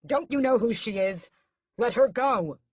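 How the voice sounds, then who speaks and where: A woman voicing a hot-tempered young vampire prince in an audiobook, forceful and clipped. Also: low-pitched, moderate pace, breathy edge, no room sound, clean studio sound. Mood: angry. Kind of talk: audiobook character voice.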